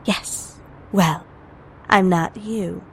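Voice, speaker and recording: A woman acts a sly cat burglar for an audio drama. She speaks slowly and teasingly in a slightly low, seductive voice with plenty of fry, over faint noise. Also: fairly even intonation, a bit bright, full character voice. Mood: sly, guarded. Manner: slow, teasing, guarded. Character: sly, seductive female cat burglar, coolly self-serving. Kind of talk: fan audio drama acting. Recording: faint ambient noise behind voice.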